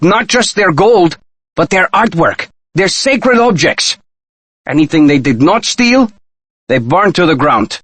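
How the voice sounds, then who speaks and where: Proud, higher-voiced Mexican diplomat. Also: male voice, a bit bright, no room sound, full character voice. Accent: Mexican Spanish. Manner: formal, precise, theatrical diction. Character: proud, indignant Mexican male diplomat, formal and crusading. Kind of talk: video game character dialogue.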